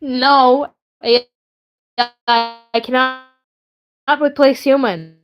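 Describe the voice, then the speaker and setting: A woman's voice: high-pitched, flat delivery, extremely slow, highs cut off, soft background music. A young woman chatting casually on a live stream in a rambling, hesitant way, often repeating herself.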